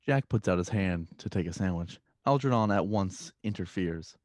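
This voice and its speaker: Male narrator, very low pitch, soft dark tone, matter-of-fact, scripted stage directions. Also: animated, moderate pace, no room sound, studio quality.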